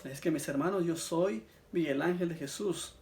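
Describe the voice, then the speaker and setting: Man, Mexican accent, somewhat high voice, earnest religious testimony, calls listeners brothers. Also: moderate pace, creaky, a little mellow. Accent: Mexican Spanish. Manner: earnest, addresses listeners as brothers, frequent "eh" fillers. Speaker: church member sharing his testimony.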